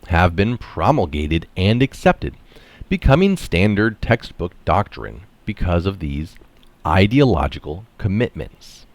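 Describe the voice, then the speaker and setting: A middle-aged man reading an article aloud with deliberate, emphatic phrasing and stressed key terms. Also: low-pitched, no room sound. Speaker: reader of articles. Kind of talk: reading an article aloud with brief casual asides. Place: small room indoors.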